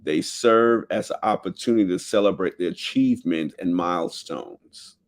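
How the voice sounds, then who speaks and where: A man hosting a solo talk show, reading from notes slowly and haltingly, with fillers and self-corrections. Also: sits low. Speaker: podcast host.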